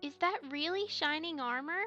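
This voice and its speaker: A woman plays a young pony girl in a fan radio play. The voice is very high and childlike, with an earnest, hesitant delivery. It sounds slightly lo-fi, with music behind it. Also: moderate pace, pushed and bright. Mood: excited, curious. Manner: hesitant, earnest, stammering over confessions. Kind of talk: fan audio drama acting. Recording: slightly dull, lo-fi microphone.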